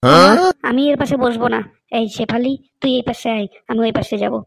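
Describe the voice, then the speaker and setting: A woman's voice: on the high side, brisk, creaky, no echo, studio quality. A woman voicing a grumpy, evasive, lazy schoolboy in a comedy cartoon, with a whiny, grumbling manner. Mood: annoyed.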